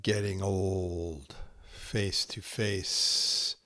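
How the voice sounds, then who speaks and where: A man reciting short poems as a host, in a measured, deliberate way with short, spare phrases. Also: low voice, flat delivery, bright, forceful tone, no echo. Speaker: podcast host reading poetry. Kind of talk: slow, spare haiku recitation.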